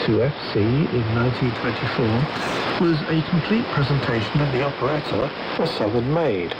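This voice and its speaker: A man presenting a radio programme, reading scripted history narration over a shortwave broadcast. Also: mid-range voice. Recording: dull shortwave radio reception with heavy hiss.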